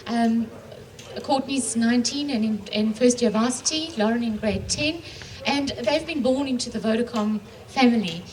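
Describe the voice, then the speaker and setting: A woman's voice: a little high, moderate pace, darkish tone. Middle-aged woman on a distant conference mic. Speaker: panelist, regional telecom executive. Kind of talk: panel introduction with a staged phone call. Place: conference room. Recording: distant mic, faint room noise.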